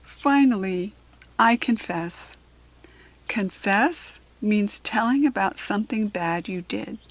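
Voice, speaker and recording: An American woman reading a children's picture book aloud in an expressive, deliberate, teacherly way. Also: mid-range voice.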